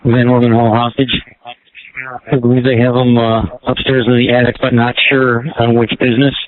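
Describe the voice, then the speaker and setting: A man, a police officer on scene, speaking calmly and politely over radio. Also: medium pitch, normal speed. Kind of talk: radio traffic. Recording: tinny scanner radio with hiss.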